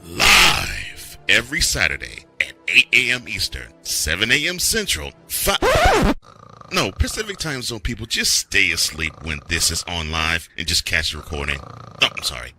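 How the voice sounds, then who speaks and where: Slow dramatic promo guy, huge pitch swings, loud music behind him. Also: huge pitch swings. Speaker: promo announcer. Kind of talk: over-the-top comic promo voice-over.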